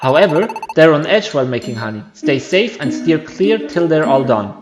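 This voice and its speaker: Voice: mid-range voice, sing-song, normal speed, music in the background, lightly acted. Folksy old creature giving warnings a gamer's act. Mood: cautioning. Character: kindly old creature warning a small child.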